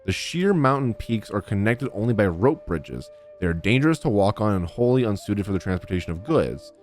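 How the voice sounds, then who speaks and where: A man's voice: fairly low pitch, fairly quick. A young man streaming a video game, reacting casually and reading aloud in an informal way. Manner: informal, animated. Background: game music.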